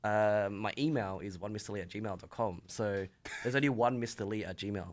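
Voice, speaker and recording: A man's voice: fairly low pitch, moderate pace. Australian man in casual conversation. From Sydney, Australia. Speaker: podcast guest. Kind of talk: casual chat.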